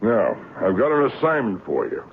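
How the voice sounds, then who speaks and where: An American actor playing a brusque middle-aged newspaper editor in a radio drama, gruff and businesslike. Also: male voice, lowish voice, very animated, normal speed, slight background noise. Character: brusque male newspaper features editor, an uncle. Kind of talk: 1970s radio drama acting. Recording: muffled, narrow-band old radio recording.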